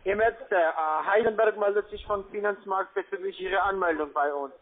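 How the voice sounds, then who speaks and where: A man with a high voice and a foreign accent works a cold call. He is pushy with his instructions, speaking in halting, broken phrases as a non-native speaker. The line is muffled and lo-fi. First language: not German. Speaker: cold-calling call-centre agent.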